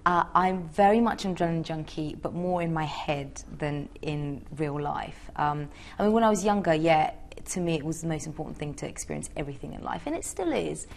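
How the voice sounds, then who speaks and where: A woman's voice: low-pitched, lively intonation, a little fast, creaky, hardly any pauses, darkish tone, a little hiss or hum. A young woman from Georgia answering interview questions in a chatty, hesitant way with many fillers. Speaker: interviewee, singer.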